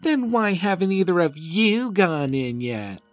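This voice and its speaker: A woman voicing a stubborn, indignant young unicorn filly, sceptical and sharp, in a cartoon fan-fiction audio drama. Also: lowish voice, big pitch swings, a little slow, soft background music.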